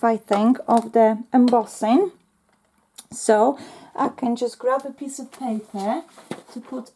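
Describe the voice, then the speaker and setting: A woman with a talks her way slowly through a crafting tutorial, pausing often with ums. Her voice sits a little high but sounds mellow and soft, slightly worn as if she is losing it. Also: gravelly. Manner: chatty, talks to herself while working, frequent ums. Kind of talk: casual step-by-step craft tutorial.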